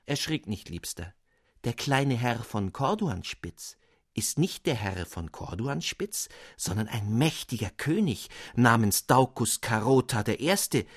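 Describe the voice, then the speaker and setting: A man's voice: mid-range voice, dry recording, clean studio sound. A man voicing a cheerful, flighty young woman writing a lighthearted letter.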